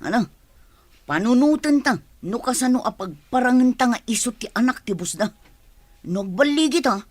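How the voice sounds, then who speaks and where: A woman's voice: a little high, expressive, normal speed, dry recording. An actress playing a pushy, money-hungry village woman who schemes, in a radio drama. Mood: scheming.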